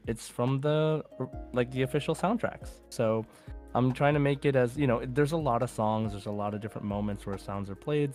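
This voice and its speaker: A man's voice: mid-range voice, a little mellow. A man hosting a podcast, chatting informally and conversationally about a video game. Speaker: podcast host.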